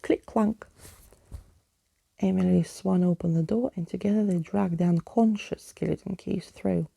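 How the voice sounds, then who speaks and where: A woman storyteller reads in an intimate ASMR whisper, close and dry on the mic. The delivery is slow and unhurried, with a dark, very soft tone. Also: lowish voice, fairly even intonation, creaky, slightly rough, no room sound. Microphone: close microphone, dry.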